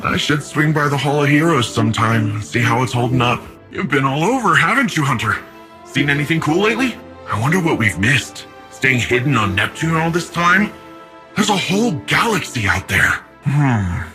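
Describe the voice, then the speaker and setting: A man's voice: mid-range voice, big pitch swings, normal speed, bright, forceful tone, faint music behind. An actor playing a big, cheerful, cocky young male city defender in a video game, with a breezy, slangy, eager and friendly manner. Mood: casual, alert.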